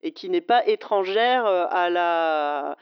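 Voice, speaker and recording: A woman's voice: fairly low pitch, expressive, slow pace, smooth, no room sound. Chatty woman, dull dry recording. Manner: chatty, frequent hesitations, laughs easily. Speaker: podcast co-host. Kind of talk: casual conversation.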